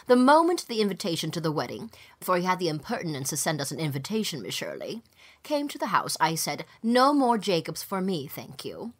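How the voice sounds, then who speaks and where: A woman's voice: fairly low pitch, very animated, a little fast, slightly rough, pitched below the performer's own voice. Woman putting on a pompous, swooping society matron. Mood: haughty, indignant. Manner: pompous, affected, insistent. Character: small, gaudily dressed, haughty, affected society matron. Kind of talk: audiobook character dialogue.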